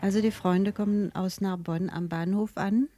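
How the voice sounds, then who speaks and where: A woman explaining and translating in conversation, with careful, measured phrasing. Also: medium pitch, steady tone, mellow. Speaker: interpreter and conversation partner. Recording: noisy ambience with vehicles.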